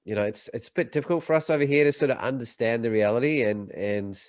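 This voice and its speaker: A man's voice: mid-range voice, fast, dry recording, studio quality. A man from the United States hosting a podcast interview over a video call, rambling with many fillers and restarts. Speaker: podcast host. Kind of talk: casual interview chat.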